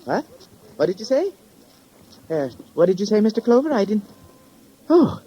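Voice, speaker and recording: An actor playing a fussy, obliging, nervous apartment manager in a 1950s radio drama, hesitant and easily flustered. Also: male voice, sits high, sing-song, moderate pace. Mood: shocked, flustered. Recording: dull, noisy old radio recording.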